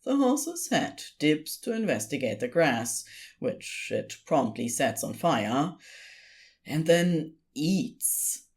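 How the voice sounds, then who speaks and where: A woman reading scripted story narration in an expressive storytelling style. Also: low-pitched, takes it slowly.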